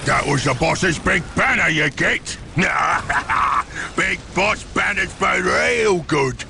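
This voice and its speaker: A man voicing a dim, excitable ork underling in a video game, raspy and growling with a Cockney accent. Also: fairly high pitch, slow pace, background noise, full character voice. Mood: gloating. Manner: yelling crudely. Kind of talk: video game character acting.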